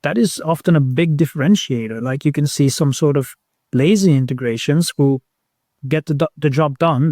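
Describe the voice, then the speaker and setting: Guy on a podcast answering questions, kinda measured and hesitant, really dry close sound. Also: medium pitch, warm tone, no room sound. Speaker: podcast guest, recruitment technology professional. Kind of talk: conversational interview answers.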